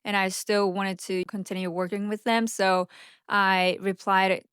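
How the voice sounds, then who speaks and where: A woman's voice: medium pitch, level tone, unhurried, fairly bright tone, smooth, no echo, studio quality. A woman who speaks Japanese as her first language, chatting candidly and unscripted to camera like a vlogger, sometimes stumbling over her words. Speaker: vlogger and social media marketing agency owner. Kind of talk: unscripted casual chat to camera.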